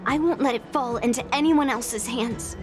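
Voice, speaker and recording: High voice, actress doing a stubborn young swordswoman, blunt and determined, loud music behind. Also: normal speed, close to an everyday voice. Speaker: game character. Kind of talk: dubbed game cutscene dialogue. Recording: game audio over loud music.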